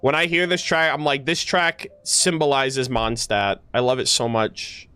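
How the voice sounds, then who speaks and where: A man's voice: mid-range voice, steady tone, measured pace, sharp tone. A man giving casual, slangy live reaction commentary as a game streamer, talking to his chat. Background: game music.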